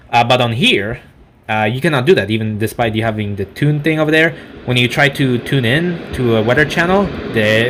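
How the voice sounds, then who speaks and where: A man giving an unscripted product review in a halting, rambling way, often correcting himself mid-sentence. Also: fairly low pitch, a little hiss or hum. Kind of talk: unscripted gadget demonstration.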